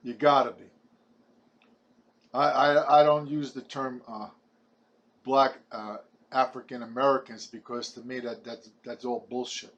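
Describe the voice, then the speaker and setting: Casting: man in his 70s, Brooklyn New York accent, halting chatty preacher-style vlogger, mid-pitched. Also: fairly even intonation, fairly bright tone, muffled recording. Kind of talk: rambling personal testimony to camera.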